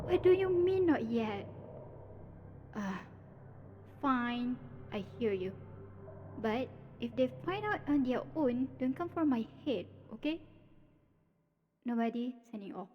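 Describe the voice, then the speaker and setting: Female supervillain, voiced by a woman, audio roleplay: highish voice, drawling mockery, theatrical mock menace, slightly dull sound with background noise. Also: lively intonation, takes it slowly, pauses often. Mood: hushed, secretive. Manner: sarcastic, drawling, mock-dramatic. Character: teasing, theatrical female supervillain, playful and world-weary. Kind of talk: ASMR roleplay audio drama.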